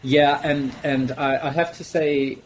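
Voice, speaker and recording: A man's voice: mid-range voice, steady tone, unhurried, slight background noise, rough recording. A man hosting an online book launch over a video call, chatty and full of fillers and restarts. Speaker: host and book editor.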